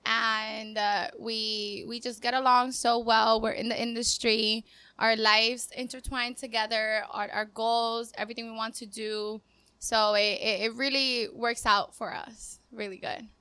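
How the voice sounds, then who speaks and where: Bright, clear-voiced young woman. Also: on the high side, little pitch movement, unhurried, no echo. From Cuba. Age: 20s. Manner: upbeat, chatty, laughs easily. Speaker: guest, dancer and business owner. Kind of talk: casual interview talk.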